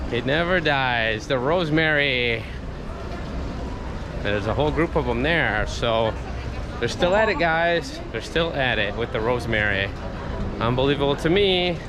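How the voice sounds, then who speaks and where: A man's voice: medium pitch, lively intonation, a little slow, pauses often. A man walking and filming a travel vlog, chatting and marveling at the buildings, and still getting over a cold. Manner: chatty, exclaims at sights, keeps saying "guys". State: recovering from a cold. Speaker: travel vlogger. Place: busy city street outdoors. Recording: loud street noise. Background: loud traffic and street noise.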